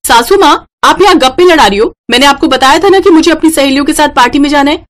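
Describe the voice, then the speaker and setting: A woman's voice: high voice, quick pace, voice pushed up, lightly acted. A woman voicing a rude, spoiled young city daughter-in-law in a story, pert and bossy. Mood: rude, impatient.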